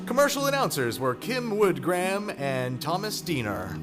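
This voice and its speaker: A man's voice: sits high, big pitch swings, moderate pace. A man announcing scripted credits in a formal, theatrical old-time radio style. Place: theater stage, live recording. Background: loud live music.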